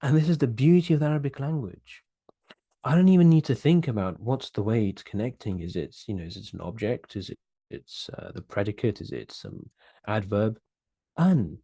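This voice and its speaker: A man's voice: on the low side, animated, moderate pace, dark tone, breathy edge, no room sound, studio quality. A young man teaching Arabic grammar in an online whiteboard lesson, earnest and explanatory, often repeating words as he thinks.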